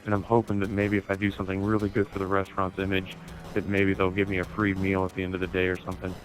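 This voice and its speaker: A man with a slightly low voice spins a casual, funny story over an online game. He swears freely and acts out the dialogue as he goes, in the manner of someone talking to friends. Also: quick pace, soft background music, poor audio. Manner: sweary, animated retelling with acted-out dialogue. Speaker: storyteller in an online gaming video. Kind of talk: casual comic anecdote told over gameplay.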